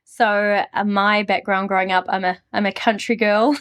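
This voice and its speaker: A woman's voice: mid-range voice, fairly even intonation, moderate pace, a bit bright. A woman from New Zealand with a New Zealand accent, talking casually and upbeat as an interview guest about her marketing business. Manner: chatty, upbeat, frequent fillers.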